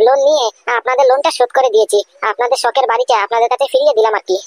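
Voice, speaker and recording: A woman's voice: high voice, very fast, a little mellow, slight background noise. A woman voicing a humble, conciliatory young cartoon man who explains things in a placating way. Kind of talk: amateur cartoon dialogue.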